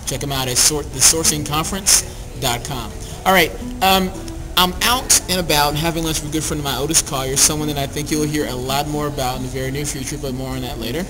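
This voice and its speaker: A man's voice: a little high, fairly even intonation, quick pace, over music, rough recording. A man hosting a podcast in a casual, chatty and playful way, as if introducing a friend. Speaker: podcast host. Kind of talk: casual chat introducing a guest.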